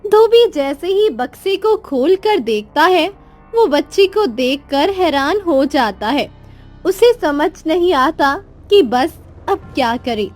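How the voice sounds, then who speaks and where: A woman's voice: high voice, expressive, slow pace, darkish tone. A woman narrating a scripted children's story. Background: music.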